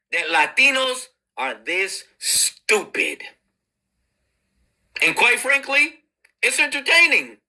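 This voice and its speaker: Woman ranting on her channel, laughing and sneering, super bright tone, swoops up and down a lot. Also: on the low side, rough recording. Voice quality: mocking, laughs while talking. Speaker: video commentator. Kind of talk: mocking monologue.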